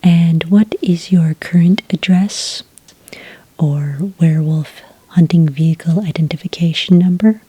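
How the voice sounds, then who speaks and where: Breathy whispering woman, slow and gentle. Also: lowish voice. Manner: gentle, polite, unhurried customer-service patter. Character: friendly, patient female registration clerk at a hunting agency. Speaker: ASMR roleplayer playing a registration clerk. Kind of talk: soft-spoken ASMR roleplay. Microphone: close microphone.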